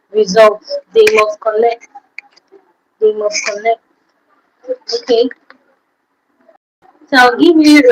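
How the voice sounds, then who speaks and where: A woman's voice: on the high side, a little hiss or hum, poor audio. A woman hosting an informal livestream tutorial, explaining things instructively and checking in with her listeners. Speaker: livestream host giving tutorial.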